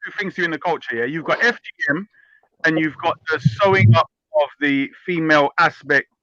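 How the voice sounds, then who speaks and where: A man's voice: fairly high pitch, a little fast, bright, forceful tone, no room sound. A man hosting a heated casual debate, blunt and provocative, pressing his points with "bruv". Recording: muffled stream with faint noise.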